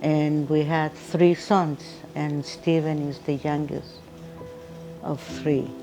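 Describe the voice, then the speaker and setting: A woman's voice: deep voice, level tone, slow pace, mellow, low-quality recording. An older woman from Chile with a Chilean Spanish accent, giving a personal interview in short, simple phrases. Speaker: interviewee, mother of a missing person. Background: music.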